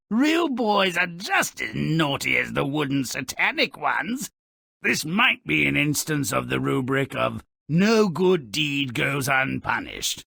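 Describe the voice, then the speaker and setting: Video game narrator role: malicious, sardonic gnome, raspy and forceful, slow relishing delivery of dark fairy tales, exaggerated and mocking. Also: male voice, medium pitch, no room sound, studio quality, heavily acted. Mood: dry sarcasm. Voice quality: raspy, gravelly.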